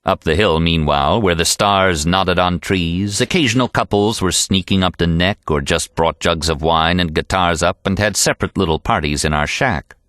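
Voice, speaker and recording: A man reading an audiobook in an expressive, animated storytelling style. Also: low voice, moderate pace, hardly any pauses, dry recording, studio quality. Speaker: audiobook narrator. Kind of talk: expressive scripted audiobook narration.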